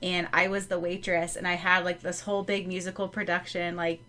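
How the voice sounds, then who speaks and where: A woman with a bright, forward voice co-hosting a podcast from home. She tells animated stories, giggling often and dropping plenty of "like". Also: lowish voice, brisk. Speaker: podcast co-host. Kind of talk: casual chat.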